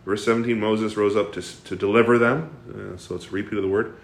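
A man leading a Bible study, explaining a passage with lively questions to the class and frequent fillers and restarts. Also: lowish voice, fairly quick, reverberant. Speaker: bible teacher. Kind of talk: interactive bible study teaching.

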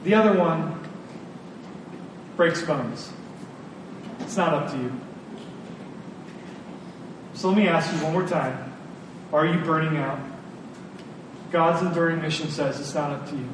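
High-voiced man preaching in echoey room. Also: a little slow, pauses often. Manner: earnest, informal, emphatic repetition. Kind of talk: conversational sermon. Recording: very echoey room, distant mic, clear noise.

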